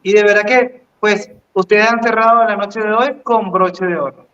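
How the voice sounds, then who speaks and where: Male co-host, very high register, informal live presenting, muffled lo-fi sound. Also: fairly even intonation, normal speed, bright, forceful tone. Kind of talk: casual live hosting.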